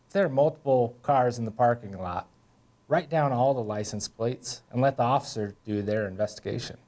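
A man's voice: mid-range voice, normal speed, a little mellow. A man in his thirties with an American accent, giving a scripted instructional presentation as a conservation officer. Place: indoor room beside whiteboard. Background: music.

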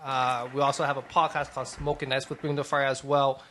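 Easygoing male co-host, bright tone, light background ambience. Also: medium pitch, flat delivery, a little fast, slight background noise. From Garden Grove, California. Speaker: podcast co-host.

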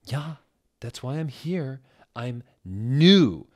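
A teenage goth girl, as played by a male reader in a comic fan fiction reading. The voice is pushed up high and emphatic, full of melodrama and quick outrage. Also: big pitch swings. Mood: eager. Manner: exclamatory, melodramatic.

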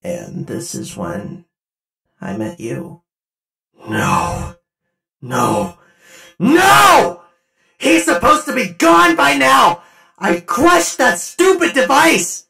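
A woman voicing a menacing, brooding nightmare puppet villain for a game roleplay, slow and dramatic. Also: a little high, wildly swooping pitch, creaky, sharp tone, roomy sound, heavily acted. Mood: angry disbelief.

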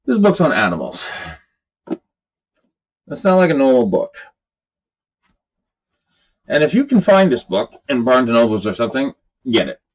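A man's voice: medium pitch, sing-song, normal speed, pauses often. A man in his forties talking casually to camera from a small room lined with bookshelves, rambling earnestly with lots of fillers. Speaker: channel host.

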